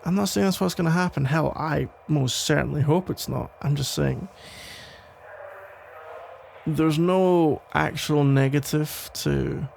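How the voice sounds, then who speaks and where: A man playing a protective, tender police officer ex-boyfriend in an intimate ASMR roleplay, speaking in halting, reassuring phrases with a gravelly voice. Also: fairly high pitch, darkish tone, slight background noise. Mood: reassuring, careful. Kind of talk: intimate ASMR roleplay, soft conversational acting.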